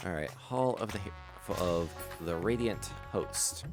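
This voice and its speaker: Chill guy streaming a game, lowish voice that bounces around, faint music behind. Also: measured pace. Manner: laid-back, chuckling, rambling with fillers. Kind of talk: casual game stream chat.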